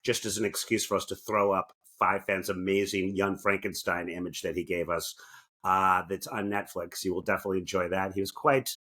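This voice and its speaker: A man's voice: sits low, level tone, brisk, sharp tone. A man co-hosting a podcast in casual, comedic chat, joking and often interrupting himself. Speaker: podcast co-host.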